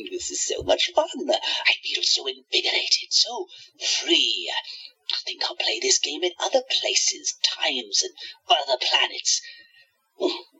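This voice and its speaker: Radio-play villain: gloating alien madman, sharp forceful delivery, huge pitch swings, mock-sweet menace. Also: slightly rough, dull audio, exaggerated voice. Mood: gleeful, musing. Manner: theatrical, mock-sweet taunting, drawn-out words.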